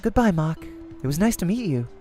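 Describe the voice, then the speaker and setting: Aloof young female gamer, played by a man, polite and measured visual novel read, faint music behind. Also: fairly high pitch, big pitch swings, warm tone, voice pushed up. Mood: reserved, curious. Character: reserved, calculating young woman gamer, formal and polite. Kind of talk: amateur visual novel character acting.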